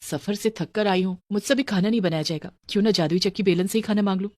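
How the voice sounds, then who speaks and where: A woman's voice: mid-range voice, quick pace, darkish tone, no room sound, close to an everyday voice. Woman doing a sweet meek young bahu in a story cartoon, earnest, close dry sound. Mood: wistful musing. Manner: pleading, earnest. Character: meek, sweet young daughter-in-law, poor and hopeful.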